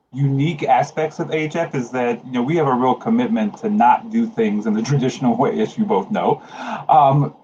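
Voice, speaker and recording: A man's voice: mid-range voice, darkish tone, lo-fi sound, a little hiss or hum. A man in his late forties speaking earnestly and conversationally as a panel guest, reflective and emphatic, repeating phrases for emphasis. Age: late 40s. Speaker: guest, HIV organization leader.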